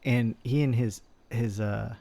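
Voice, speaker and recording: A man's voice: medium pitch, steady tone, slow, gravelly, warm tone, no room sound. A man in his twenties chatting casually in character during a roleplay, rambling with lots of restarts. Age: 20s. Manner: rambling, self-interrupting storyteller.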